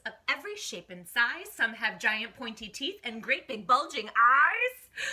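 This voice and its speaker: A woman hosting storytime, reading a picture book aloud to children with animated, dramatic emphasis and hushed asides. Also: medium pitch.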